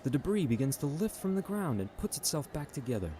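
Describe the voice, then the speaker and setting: A man's voice: on the high side, sing-song, quick pace, mellow, slight background noise. A man playing the dramatic, playful narrator of a holiday adventure audio drama. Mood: storytelling.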